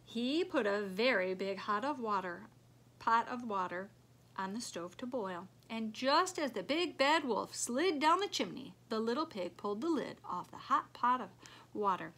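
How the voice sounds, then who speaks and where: High-voiced woman reading to small children. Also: unhurried. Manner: expressive, emphatic, simple phrasing for small children. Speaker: preschool teacher reading aloud. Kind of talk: children's storybook read-aloud. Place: small living room, indoors.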